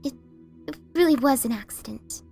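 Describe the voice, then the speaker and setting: Woman voicing an earnest, high-voiced pegasus mailmare. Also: moderate pace, vocal fry, warm tone, soft background music. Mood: apologetic. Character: earnest, sheepish pegasus mailmare, younger sister. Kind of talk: dramatic reading of fan fiction.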